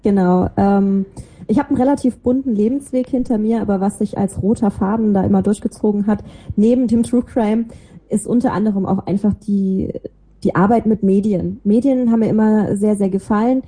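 A woman with a warm, mellow voice that is a little husky talks casually as an interview guest. She is chatty and self-deprecating as she tells about herself. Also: medium pitch, a little fast. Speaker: interview guest, podcaster and small creative agency owner. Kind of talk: casual chat, telling about own life and work.

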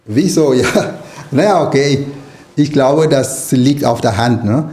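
A man's voice: medium pitch, measured pace, mellow. A middle-aged man from Nicaragua, a native Spanish speaker, answering interview questions as a writer and language lecturer. He talks in a thoughtful way, with many restarts and fillers.

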